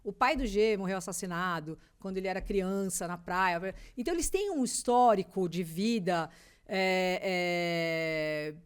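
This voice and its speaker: Lively woman radio presenter telling stories. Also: lowish voice, unhurried, clear, resonant tone. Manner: lively storyteller, sets up anecdotes. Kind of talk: casual interview chat.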